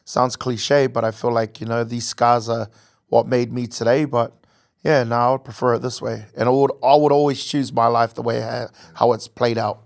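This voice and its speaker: A man's voice: mid-range voice, flat delivery, moderate pace, creaky. Earnest young New man guest. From American Samoa, raised in New Zealand. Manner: earnest, reflective, with jokey asides. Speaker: guest, content creator. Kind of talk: casual interview chat.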